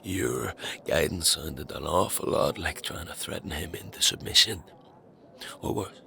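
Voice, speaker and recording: A man playing a troubled, self-doubting young man in a dark fantasy audio drama, with a gravelly voice and hesitant, brooding delivery. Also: extremely low pitch, expressive, measured pace, full character voice. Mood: confrontational.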